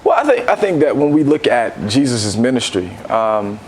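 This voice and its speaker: A man's voice: medium pitch, very animated, normal speed, slight background noise. An American man giving earnest, reflective interview answers, building his points step by step as a guest. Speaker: guest, ministry founder and book-drive leader.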